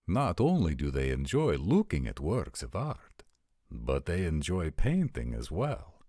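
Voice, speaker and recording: A courteous vampire prince played by a man in an audio drama. His voice is low and his speech formal and guarded. The sound is dry and intimate. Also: wildly swooping pitch, warm tone, no room sound, exaggerated voice. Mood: courteous, earnest. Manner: formal, polite, measured. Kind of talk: roleplay audio drama acting.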